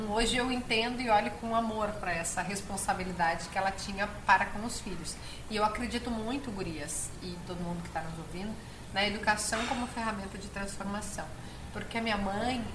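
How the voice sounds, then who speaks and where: A woman with a southern Brazilian accent, telling personal stories as an interview guest, earnest and given to emphatic repetition. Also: mid-range voice. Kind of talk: personal storytelling in conversation. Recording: echoey room, clear background noise.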